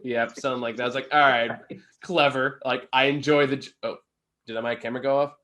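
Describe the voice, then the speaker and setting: Bright-voiced man chatting about movies. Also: medium pitch, fairly even intonation, quick pace. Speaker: film review co-host. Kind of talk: casual movie review chat.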